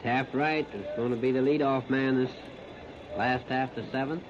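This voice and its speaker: A man's voice: medium pitch, fairly even intonation, moderate pace. An American man calls live baseball play-by-play on the radio in short, plain-spoken, folksy phrases. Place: outdoor ballpark. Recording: noisy, muffled 1930s radio broadcast recording.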